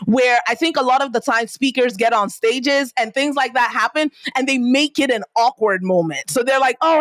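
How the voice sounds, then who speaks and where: A woman with a Nigerian accent, a podcast guest telling stories in a lively, casual way. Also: no room sound. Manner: animated, acts out quotes with big pitch jumps. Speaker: podcast guest, entrepreneur and speaker. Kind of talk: storytelling in casual interview.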